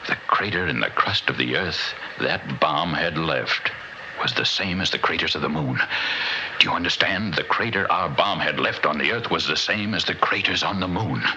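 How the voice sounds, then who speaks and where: An actor playing an older, haunted, philosophical scientist in a 1960s radio drama, gravelly and portentous in delivery. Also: male voice, very deep voice, bright, forceful tone, heavily acted. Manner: portentous, deliberate, dramatic storytelling. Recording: dull, noisy old radio recording.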